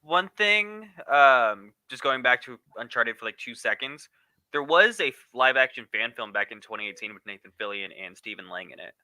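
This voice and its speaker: A man with a very bright, forward voice and a mid-range pitch, recorded dry and close. He chats casually as a podcast co-host, often hesitating and picking his way through a thought. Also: very animated, normal speed, no room sound. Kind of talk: casual chat.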